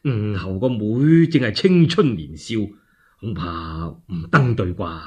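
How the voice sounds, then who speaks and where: Man playing low, hesitant widowed Cantonese lord. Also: expressive, husky, heavily acted. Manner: sighing, slow, hesitant. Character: middle-aged widowed lord, modest, mournful and hesitant.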